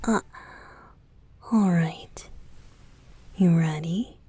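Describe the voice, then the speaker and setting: A woman playing a playful, affectionate young male idol boyfriend in an intimate ASMR roleplay, soft and often close to a whisper. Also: lowish voice, slightly rough, heavily acted. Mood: playful, competitive. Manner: teasing, coaxing, giggly, slow with pauses. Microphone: close studio microphone, dry.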